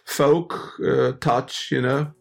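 Middle-aged man from Austria, German native speaker, halting, very gravelly, husky. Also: medium pitch, steady tone, faint music behind. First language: Styrian Austrian German. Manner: halting, restarting, laughs often. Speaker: guest musician. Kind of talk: casual interview.

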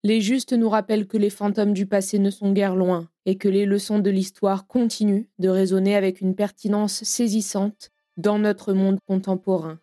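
A woman reading a formal, measured scripted introduction to an audio drama as its narrator. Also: mid-range voice, level tone, mellow, no room sound, clean studio sound. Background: music.

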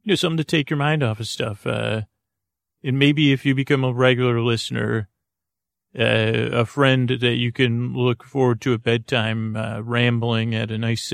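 Male podcast host, low-pitched-neutral creaky voice, close dry sound, meandering bedtime monologue with halting, unfinished thoughts. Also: no echo.

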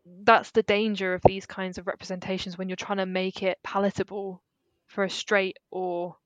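A woman's voice: medium pitch, flat delivery, no echo, poor audio. A woman co-hosting a podcast, chatting emphatically and casually about films. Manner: chatty, emphatic, quick to laugh. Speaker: podcast co-host. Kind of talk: casual film discussion.

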